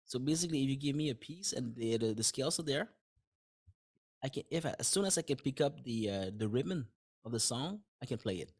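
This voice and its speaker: A man's voice: medium pitch, animated, brisk, slightly rough. French-native man chatting as a guest. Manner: thinks aloud in simple words, with frequent restarts and light laughs. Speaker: podcast guest, dentist and author. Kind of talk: casual interview conversation.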